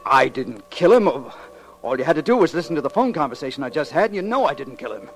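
High-pitched, agitated young man. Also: very animated, quick pace, faint music behind. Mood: defensive. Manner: clipped, accusing, defensive. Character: agitated, bitter young man framed for robbery. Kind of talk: 1940s radio crime drama acting.

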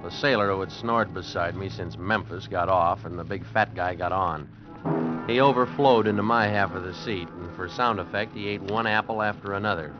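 Give the part to a man acting a cynical, down-on-his-luck drifter with a low, hard-boiled voice. He tells his tale wryly, in old radio adventure style. The sound is muffled and vintage, with music behind. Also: moderate pace, close to an everyday voice. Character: world-weary cynical broke actor narrating his story. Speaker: actor in a radio drama. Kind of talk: 1950s radio adventure drama narration.

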